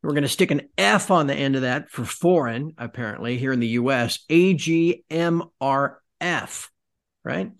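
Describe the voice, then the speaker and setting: A man hosts a podcast at a middle pitch. He asks long, conversational questions and restarts his sentences as he goes. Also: normal speed, gravelly. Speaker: podcast host. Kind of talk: podcast interview.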